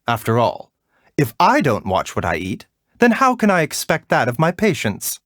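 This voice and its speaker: A man voicing a gentle, refined video game doctor who is polite, soft-spoken, caring and a little wry. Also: mid-range voice, wildly swooping pitch, no room sound, clean studio sound. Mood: gently admonishing. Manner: measured, soft-spoken, polite, lightly teasing. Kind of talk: video game character voice lines.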